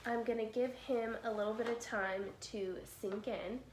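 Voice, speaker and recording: A young woman in her twenties with a cold, chatting casually through a makeup tutorial at home and sometimes whispering softly. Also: fairly high pitch, steady tone, moderate pace, a little hiss or hum, rough recording. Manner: chatty, drops to soft whispers while applying products. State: congested with a cold. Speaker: beauty vlogger. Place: small room at home, indoors. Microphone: camera in front at close range.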